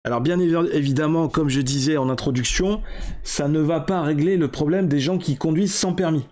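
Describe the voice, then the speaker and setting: A man's voice: fairly high pitch, a little fast, darkish tone. Chatty ironic man in his forties. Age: 40s. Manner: chatty, ironic, lots of filler hesitations. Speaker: tech show host. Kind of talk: casual tech explainer monologue.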